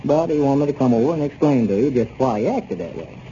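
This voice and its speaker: An actor playing a well-meaning small-town neighbor in a 1930s radio comedy, placating and folksy. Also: male voice, medium pitch, expressive, quick pace, dark tone, natural voice. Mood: earnest, placating. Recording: noisy dull 1930s radio recording.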